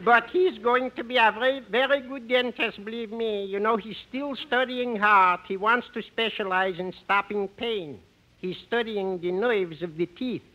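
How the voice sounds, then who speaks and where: A man's voice: high voice, moderate pace, dull audio, lightly acted. A man playing a genial, silly comic character with a Yiddish accent and singsong, emphatic delivery in 1950s radio comedy. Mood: genial, earnest. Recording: noisy old 1950s radio recording.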